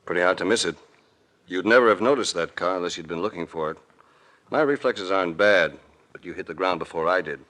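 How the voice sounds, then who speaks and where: Very deep-voiced, world-weary American detective. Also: male voice, brisk, fairly bright tone. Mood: wry. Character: shrewd male investigator, calm, world-weary, narrating his case. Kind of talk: 1940s-50s radio drama acting. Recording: vintage radio recording.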